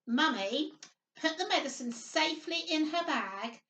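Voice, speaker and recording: Woman, nursery storyteller, high-pitched and sing-song, speaking simply to little ones; roomy, echoing sound. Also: expressive, unhurried, bright, forceful tone, slight background noise. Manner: sing-song, simple child-directed talk with frequent tag questions. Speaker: children's storytime host, nursery worker. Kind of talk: reading picture book aloud to young children. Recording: roomy echo, distant microphone.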